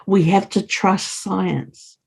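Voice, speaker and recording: A woman's voice: mid-range voice, fairly even intonation, slow pace, vocal fry, a little mellow, husky. A woman who speaks English as a second language, talking as a podcast guest in an earnest, encouraging way. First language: non-English first language. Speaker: podcast guest, community leader. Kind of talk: casual interview talk.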